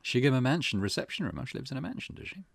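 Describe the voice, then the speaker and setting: A man's voice: medium pitch, big pitch swings, racing, gravelly, no echo. A man reading a visual novel aloud for a gaming video, with casual commentary of his own.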